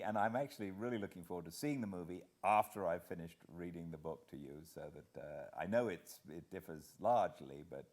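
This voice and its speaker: A man's voice: low-pitched, darkish tone. A British man, an actor and narrator, chatting casually to introduce a reading in a hesitant, rambling, reflective way. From Britain.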